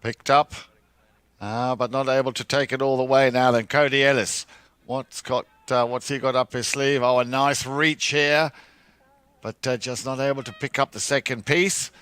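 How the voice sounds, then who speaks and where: A man doing live play-by-play commentary on a polo match, building excitement on big plays. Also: medium pitch, moderate pace, smooth, no room sound.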